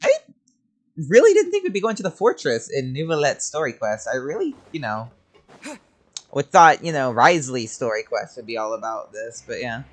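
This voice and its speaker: A woman's voice: extremely low pitch, sing-song, moderate pace. A woman streaming a game with casual, amused, informal reaction commentary.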